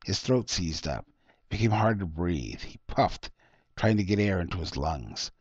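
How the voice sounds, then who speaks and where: A man's voice: low voice, sing-song, measured pace, husky, no echo, highs cut off. A man reading his own short story as author, with dramatic, scripted storytelling delivery.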